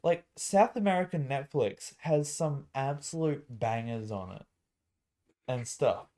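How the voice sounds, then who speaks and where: A man's voice: mid-range voice, unhurried, mellow. Blunt, sweary man in casual podcast chat. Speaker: podcast co-host.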